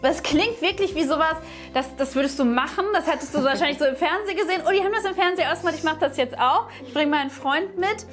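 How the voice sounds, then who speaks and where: A woman's voice: high voice, fast talker, few pauses, fairly bright tone, faint music behind. A young woman chatting casually as she questions the other players in a game. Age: young adult. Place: indoor studio room, seated at a table.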